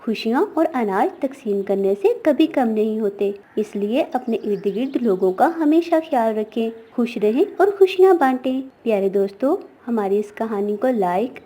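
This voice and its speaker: A woman's voice: a little high, dark tone. A woman delivering scripted narration as the narrator of a children's story.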